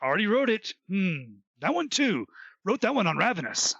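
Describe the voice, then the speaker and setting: A man acts a preoccupied gnome writer in an audio comedy. His voice is high and husky, swinging around as he mutters, in a dull dry recording. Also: big pitch swings, moderate pace, fairly bright tone, dry recording, heavily acted. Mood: preoccupied, musing. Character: preoccupied male gnome writer hunting for new ideas.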